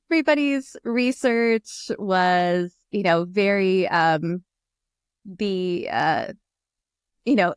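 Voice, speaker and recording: Guest, American woman, 30s; smooth, clear, talkative, laughs a lot; earphone mic on a call, dry room. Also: medium pitch, dry recording. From United States. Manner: chatty, laughs often, many fillers. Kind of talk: casual interview chat. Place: small room indoors. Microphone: earphone headset on video call.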